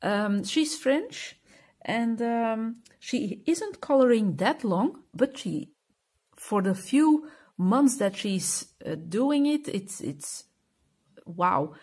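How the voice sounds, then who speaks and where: A woman's voice: on the high side, expressive, extremely slow, a little mellow, breathy edge. A Belgian woman with a Flemish accent, speaking English as a second language in a casual chat to camera, hesitant and full of fillers as she searches for words. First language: Dutch (Flemish). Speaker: coloring channel host.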